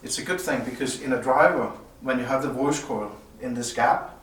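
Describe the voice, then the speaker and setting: Male product designer, explanatory and halting delivery, medium pitch, picked up at a distance with faint noise. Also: fairly bright tone. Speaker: loudspeaker designer presenting at a product premiere. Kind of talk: semi-technical product presentation, unscripted. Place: indoor room at an audio show. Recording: roomy, distant mic, some background noise.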